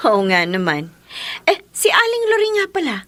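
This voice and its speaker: An actress playing a chatty, inquisitive Filipino neighbour and friend in a radio drama. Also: female voice, extremely high pitch, wildly swooping pitch, a little slow, a bit bright, dry recording, exaggerated voice. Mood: chatty, curious.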